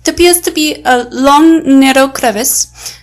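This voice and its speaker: Woman playing high-voiced female infiltrator. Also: measured pace. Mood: self-assured. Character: stealthy, obedient, self-assured female infiltrator. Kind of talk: audio adventure drama acting.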